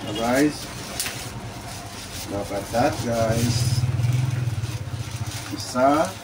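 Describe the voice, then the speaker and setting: Casual Filipino male vlogger, mid pitch, halting product-unboxing delivery, recorded at a distance with noticeable noise. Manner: halting, repeats words, addresses viewers often. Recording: distant mic, clearly noisy background with birds.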